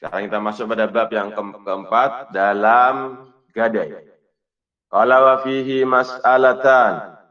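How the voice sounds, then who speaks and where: Male religious teacher, muffled and distant. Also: medium pitch. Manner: didactic, asks and answers own questions. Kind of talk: religious lesson, reading Arabic text and explaining it.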